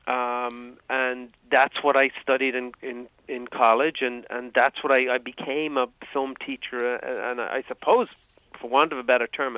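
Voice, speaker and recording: An Irish man in his fifties, a guest on an interview podcast, talking in a halting, reflective way with many restarts. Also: medium pitch, dry recording. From Ireland. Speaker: guest, film historian. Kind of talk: casual interview. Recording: tinny phone line.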